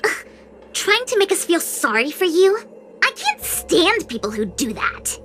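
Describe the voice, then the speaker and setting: A woman's voice: very high voice, very animated, measured pace, full character voice. A woman voicing a mean, taunting child bully in a game cutscene. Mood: scornful. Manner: taunting, sneering. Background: music.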